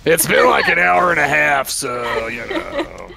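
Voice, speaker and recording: A woman's voice: low voice, very animated, unhurried, creaky, over a noisy crowd. A woman in casual gaming chat with friends, joking and given to exaggerated reactions. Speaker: gamer in a group let's play series.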